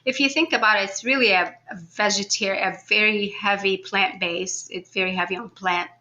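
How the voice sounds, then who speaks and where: A woman's voice: medium pitch, steady tone, normal speed, bright, forceful tone. A woman from Egypt talking casually and informally as a guest in an interview, chatty and given to laughing. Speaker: podcast guest, food blogger.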